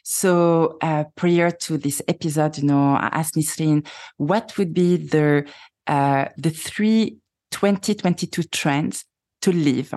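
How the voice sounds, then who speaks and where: Low-voiced woman with French accent. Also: slow, warm tone. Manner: friendly, hesitant, many ums and rephrasings. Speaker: podcast host. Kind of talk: interview conversation.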